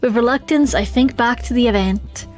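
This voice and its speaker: A woman's voice: on the high side, moderate pace. A woman streaming a game, chatting expressively and reading the story text aloud. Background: faint music.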